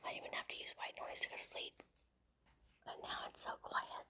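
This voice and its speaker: A woman talking casually in a breathy whisper, murmuring in wonder and repeating herself, recorded indoors at home. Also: fairly quick. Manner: marveling, repetitive murmuring. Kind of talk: hushed casual self-recorded chat.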